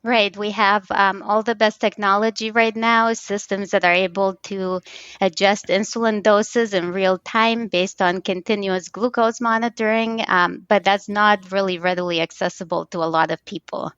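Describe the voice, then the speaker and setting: A woman in her thirties from Romania, a guest on an interview, speaking in a measured way with frequent ums. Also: medium pitch, fairly even intonation, fairly bright tone, smooth, no room sound, lo-fi sound. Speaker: data scientist guest. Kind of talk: conversational interview.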